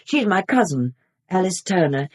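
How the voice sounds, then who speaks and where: Husky, lively older landlady. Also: lowish voice, normal speed. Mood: welcoming, insistent. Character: fussy, welcoming older Victorian landlady. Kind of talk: radio drama acting.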